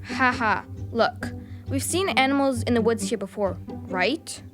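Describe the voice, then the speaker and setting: An actress voicing a spirited, curious young girl with a direct, expressive manner in a children's audio drama. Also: mid-range voice, a little slow, over music, natural voice. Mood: friendly, wry.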